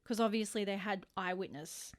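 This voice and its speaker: A woman's voice: mid-range voice, moderate pace. A woman co-hosting a podcast, telling true-crime stories in an informal, conversational way with emphatic stresses. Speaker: podcast co-host.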